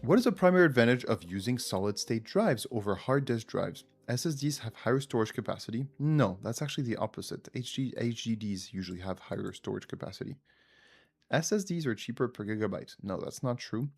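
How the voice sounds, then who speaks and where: A man's voice: medium pitch, lively intonation, brisk, gravelly, slightly rough. A man with a French accent, French his first language, hosting a casual live quiz and thinking aloud while chatting with viewers. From France. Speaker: livestream host.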